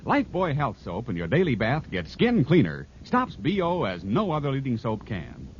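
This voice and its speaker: A man's voice: medium pitch, huge pitch swings, normal speed, slight background noise. A man delivering a scripted mid-century radio soap advertisement as an announcer. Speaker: commercial announcer. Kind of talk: 1940s-50s radio advertisement. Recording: dull old radio broadcast.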